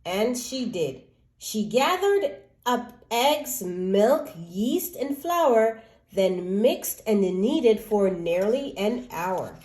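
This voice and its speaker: A woman reading a children's story aloud as an expressive narrator. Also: mid-range voice, drawn-out words.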